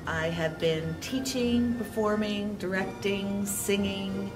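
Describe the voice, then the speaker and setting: A woman's voice: mid-range voice, steady tone, slow pace, music in the background. A middle-aged or older woman, a theater teacher, speaking informally in an interview. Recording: clear background ambient noise.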